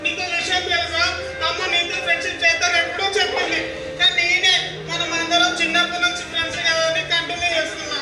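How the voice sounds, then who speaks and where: A man's voice: very high voice, fast talker. A man playing an earnest, reluctant teenage student in a stage play. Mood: reluctant, protesting. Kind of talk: amateur Telugu stage play acting. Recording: distant echoey hall, music and crowd.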